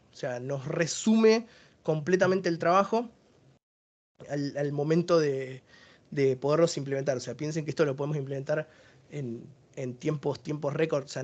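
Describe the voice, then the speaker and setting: A man's voice: a little high, dull audio. A man with an Argentine accent giving a technical webinar presentation, explaining each service step by step.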